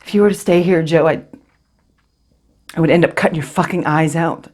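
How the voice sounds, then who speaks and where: Woman acting husky, hurt, bitter wife. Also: low voice, brisk, darkish tone. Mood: cold, resolute. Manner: intense, accusing, controlled, dropping to near-whispers. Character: hurt, bitter wife confronting her cheating husband. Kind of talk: dramatic stage monologue.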